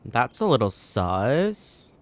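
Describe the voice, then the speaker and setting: A man hosting a let's play, reading game text aloud and reacting in a casual, animated manner. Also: mid-range voice, slow, a little hiss or hum. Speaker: gaming video host. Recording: faint music behind.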